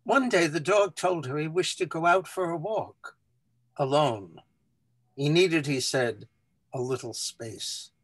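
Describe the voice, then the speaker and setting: A man's voice: on the high side, takes it slowly, no room sound. A man reading a short story aloud as scripted, storybook-style narration.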